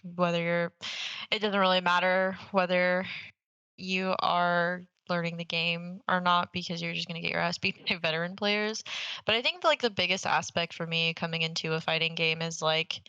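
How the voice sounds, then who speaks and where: A woman's voice: on the low side, bright, forceful tone, clean tone, dry recording, rough recording. A woman co-hosting a casual podcast, speaking bluntly and emphatically with plenty of swearing. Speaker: podcast co-host. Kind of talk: casual gaming podcast chat.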